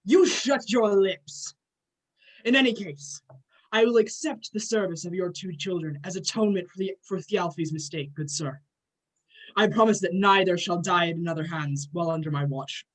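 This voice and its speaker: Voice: medium pitch, moderate pace, natural voice, poor audio. An amateur actor playing a grand, short-tempered male thunder god with a formal, pompous manner in a myth play reading. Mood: stern, composed.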